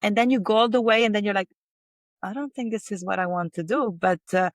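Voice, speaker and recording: A woman's voice: mid-range voice, a little fast, no room sound, clean studio sound. A woman hosting an interview, asking reflective, coaxing questions. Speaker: host, wellness coach. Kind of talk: interview conversation.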